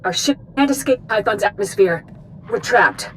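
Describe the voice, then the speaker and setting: A woman's voice: mid-range voice, animated, moderate pace, a bit bright, slight background noise, lightly acted, poor audio. An actress playing a tense female officer in a video game, sending an urgent military alert. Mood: alarmed. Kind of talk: scripted video game acting.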